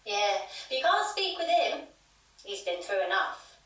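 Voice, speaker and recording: A woman's voice: mid-range voice, very animated, unhurried, fairly bright tone. A woman playing an insistent, fearful pub landlady, telling of a haunting emphatically in an audio drama. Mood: wary, firm. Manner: emphatic, dramatic, repeats herself. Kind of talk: audio drama storytelling in an interview. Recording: very echoey noisy room, distant mic.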